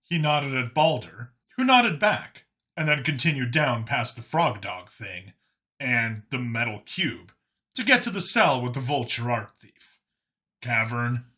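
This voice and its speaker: A man's voice: medium pitch, big pitch swings, a little slow, sharp tone. A man reading a science fiction story as a scripted audiobook narrator.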